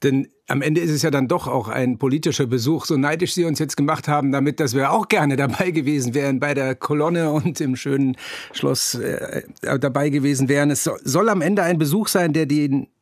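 A man's voice: a little high, a little fast, dry recording, clean studio sound. A man hosting a radio interview, asking long, articulate questions.

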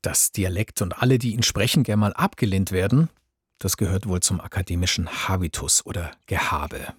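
A man's voice: low-pitched, normal speed, slightly rough, no room sound, clean studio sound. A man who presents the radio show and announces its segments in scripted moderation.

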